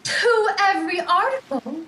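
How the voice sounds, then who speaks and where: A woman's voice: very high voice, sing-song, bright, forceful tone, exaggerated voice. A woman playing an eager airy female spirit serving a sorceress, speaking verse theatrically in a Shakespearean audio drama. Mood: eager, proud. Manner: theatrical verse delivery with dramatic swoops and pauses.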